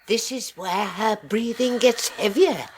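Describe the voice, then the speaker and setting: A woman's voice: on the high side, measured pace, a little hiss or hum. A woman playing a timid, fluttery older sister in a radio drama. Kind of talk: 1980s radio drama acting.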